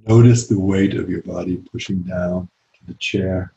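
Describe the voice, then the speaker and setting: A man leading a guided meditation as a teacher, speaking calmly and gently with long pauses. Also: sits low, a little hiss or hum, low-quality recording.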